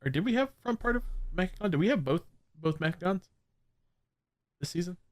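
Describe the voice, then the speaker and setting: Excitable, foul-mouthed man riffing with a friend. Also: high-pitched, fairly quick. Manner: excitable, swears freely, jokey. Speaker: podcast co-host. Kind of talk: casual gaming chat.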